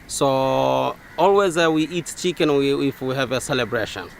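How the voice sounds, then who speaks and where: Local man with a Swahili accent, hesitant interviewee, average pitch, quiet ambience behind. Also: gravelly, a little hiss or hum. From Zanzibar, Tanzania. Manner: halting, many uh fillers and restarts. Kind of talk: casual interview answers.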